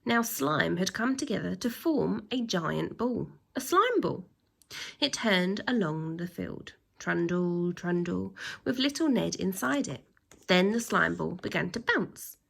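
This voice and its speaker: A woman reading a children's story aloud like a teacher, with expressive, drawn-out emphasis and acted sound effects. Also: lowish voice, vocal fry, breathy edge.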